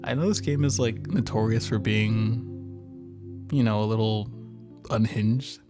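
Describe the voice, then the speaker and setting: A man's voice: fairly low pitch, fairly even intonation, takes it slowly. A man playing a visual novel with a friend as a guest, bantering casually and teasingly and sometimes reading narration aloud. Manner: jokey, teasing, swears. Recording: music clearly behind the voice.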